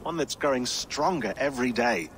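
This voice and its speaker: An actor playing a talkative, sardonic tech expert in a video game. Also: male voice, medium pitch, moderate pace, vocal fry, slight background noise, low-quality recording. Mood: wry. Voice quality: sardonic, animated.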